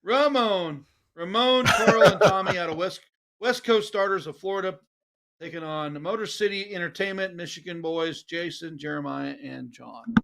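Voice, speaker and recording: A man's voice: sits high, normal speed, gravelly, fairly bright tone. A man in his fifties calling a darts match live, stumbling over player names and laughing at himself. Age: 50s. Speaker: darts commentator.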